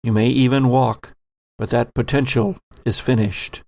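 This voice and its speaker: A man hosting and game mastering a horror audio drama, narrating with theatrical, deliberate phrasing. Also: medium pitch, steady tone, creaky, a little mellow, dry recording.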